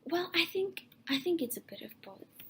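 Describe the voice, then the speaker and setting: A young woman from South Africa whose first language is Afrikaans, answering interview questions in a thoughtful, soft and breathy way with frequent restarts. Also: very high voice, sing-song, moderate pace, slight background noise.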